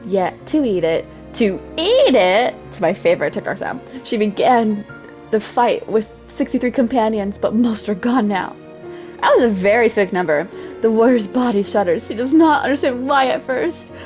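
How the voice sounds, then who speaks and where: A woman's voice: on the high side, animated, moderate pace, darkish tone, faint music behind. A woman giving a mock-dramatic amateur reading, theatrical and self-mocking, often breaking off into casual asides. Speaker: amateur reader.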